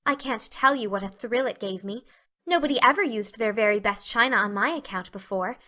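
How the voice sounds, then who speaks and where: A woman voicing a dreamy, excitable, talkative young girl in an audiobook, effusive and earnest with grandiloquent phrasing. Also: fairly high pitch, fairly quick. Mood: blissful, earnest. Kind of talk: dramatic audiobook reading.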